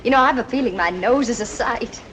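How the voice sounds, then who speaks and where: A coy young woman played by an actress, fairly high in pitch, with a soft, fluttery way of talking. The style is 1940s screen drama on a noisy old soundtrack. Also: brisk, lightly acted. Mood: coy, dreamy. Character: sweet, coy, nervous young woman passenger. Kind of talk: 1940s film acting.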